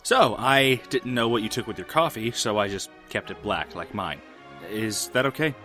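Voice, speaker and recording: A man's voice: fairly low pitch, animated, moderate pace, sharp tone, faint music behind. A man playing a polite, awkward human who stammers hesitantly in a fan fiction audio drama. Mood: polite, accommodating.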